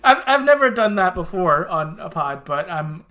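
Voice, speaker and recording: A man's voice: high voice, very animated, moderate pace, pushed and bright. A man hosting a casual podcast, talking informally and supportively with loose, sweary phrasing. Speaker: podcast host.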